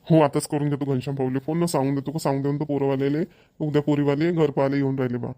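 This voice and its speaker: A man's voice: medium pitch, level tone, racing, mellow, close to an everyday voice. A man voicing an earnest village father in a homemade cartoon, speaking colloquial, emphatic, repetitive Marathi with a Vidarbha accent. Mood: agreeable, decided. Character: earnest village father arranging his daughter's marriage.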